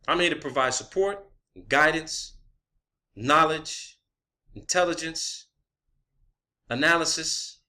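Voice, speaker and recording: A man's voice: on the high side, slow, pauses often, pushed and bright. A man delivering an unscripted, profane rant in a blunt, emphatic manner, often repeating phrases for emphasis, as an online video creator.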